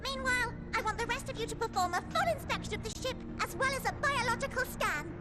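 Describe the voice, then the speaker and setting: A tiny, very high-pitched scholar. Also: female voice, expressive, fast, music in the background. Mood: eager. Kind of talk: game cutscene voice acting.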